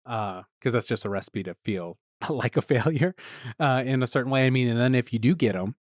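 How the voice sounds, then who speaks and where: A man hosting a podcast interview, talking in a relaxed, laid-back way and laughing often. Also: medium pitch, fairly quick, dry recording, studio quality. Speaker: podcast host. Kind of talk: casual interview chat.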